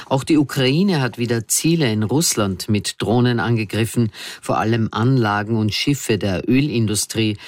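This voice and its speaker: A man reading scripted news in simple language in a measured, structured manner. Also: mid-range voice, no echo, clean studio sound. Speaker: newsreader.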